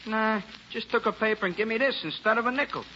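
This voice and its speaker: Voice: mid-range voice, quick pace, sharp tone, natural voice. An actor playing a streetwise, eager young newsboy in a 1940s radio drama, talking in informal city slang. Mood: puzzled. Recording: hissy, crackly, dull old radio recording.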